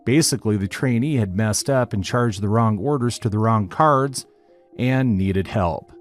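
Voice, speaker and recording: A man narrating a written online story in a steady, even reading style. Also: fairly low pitch, a little slow. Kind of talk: scripted narration of a reddit post. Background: faint background music.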